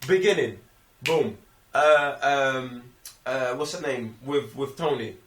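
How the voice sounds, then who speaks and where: A man's voice: mid-range voice, slow, fairly bright tone, recorded from a distance. A man chatting casually about film, emphatic and slangy, acting out little quotes as he goes. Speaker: film review show co-host. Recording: echoey room, off the mic.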